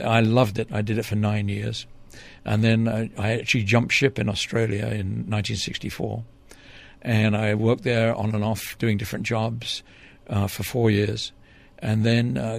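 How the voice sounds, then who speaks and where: A man's voice: lowish voice, little pitch movement, moderate pace, vocal fry. An older man from England, a former TV reporter telling long stories as an interview guest, with many fillers and restarts. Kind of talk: relaxed storytelling in an interview.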